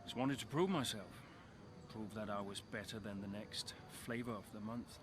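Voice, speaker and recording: An actor playing a weary, proud but soft-hearted veteran racing driver in a video game cutscene. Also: male voice, on the low side, normal speed, natural voice. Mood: subdued, frank. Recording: noisy background ambience.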